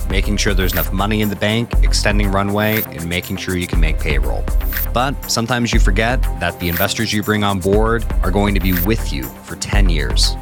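A man hosting a podcast, reading an upbeat scripted countdown. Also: lowish voice. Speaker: podcast host.

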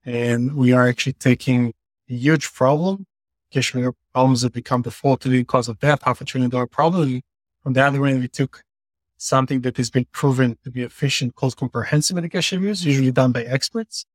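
A man's voice: medium pitch, fairly even intonation, fast talker, no room sound. A man from Israel, a company founder, explaining his work earnestly with many "uh" fillers over a remote call. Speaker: company CEO guest. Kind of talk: podcast interview.

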